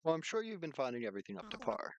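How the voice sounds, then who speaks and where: A man's voice: mid-range voice, big pitch swings, rapid-fire, dry recording, dull audio. A man streaming a visual novel, reading its narration aloud with casual commentary and sometimes stumbling over lines and rereading them. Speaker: video game streamer.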